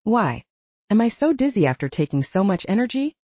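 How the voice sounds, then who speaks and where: A woman's voice: medium pitch, sing-song, brisk, dark tone, no room sound, muffled recording, pitched above the performer's own voice. A woman voicing an anxious, curious young heroine with an earnest, questioning manner in an audiobook. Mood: troubled.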